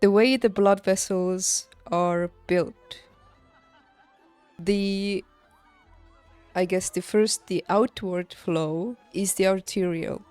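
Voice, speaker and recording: A woman game streamer chatting casually, thinking aloud haltingly and laughing easily, as if working out each thought while she talks. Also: medium pitch, fairly even intonation, darkish tone, clear, resonant tone, no room sound. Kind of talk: casual livestream chat over gameplay. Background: game music.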